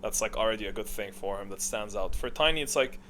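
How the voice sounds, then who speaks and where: A man's voice: fairly low pitch, fast talker, pushed and bright, slight background noise. A man giving casual, off-the-cuff gaming commentary, thinking aloud in a rambling, blunt way.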